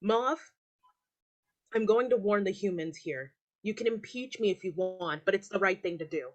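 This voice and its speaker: A woman's voice: mid-range voice, moderate pace, highs cut off, lightly acted. A woman playing a brave, headstrong talking hawk in a staged reading, urgent and defiant. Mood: resolute.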